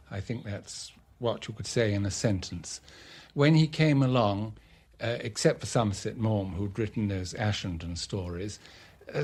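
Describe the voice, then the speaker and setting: A man's voice: medium pitch, darkish tone. A man, a writer and critic, giving reflective spoken commentary.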